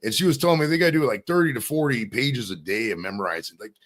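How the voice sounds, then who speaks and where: A man's voice: mid-range voice, fast, fairly bright tone. A man hosting a live podcast interview, talking loosely and profanely in a rambling, casual way. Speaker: podcast host.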